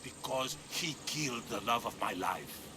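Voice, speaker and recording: An actor playing a wily aging former mercenary, an old friend, in a video game. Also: male voice, medium pitch, lively intonation, unhurried, background noise. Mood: cold, vengeful.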